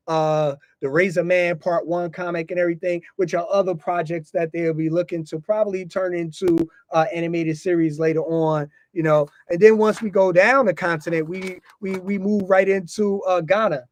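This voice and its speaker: An American man with a slightly high voice hosts a casual podcast. He speaks informally, circling back over his phrases and dropping in "you know" often. The sound is dry and close. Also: a little mellow, no echo. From United States. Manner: informal, frequently repeats himself and tags phrases with "you know". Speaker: podcast host.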